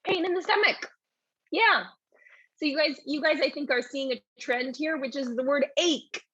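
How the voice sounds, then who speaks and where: A woman's voice: sits high, normal speed, fairly bright tone, no room sound, lo-fi sound. A woman teaching a live online English lesson in a chatty way, reading out and answering questions from the chat.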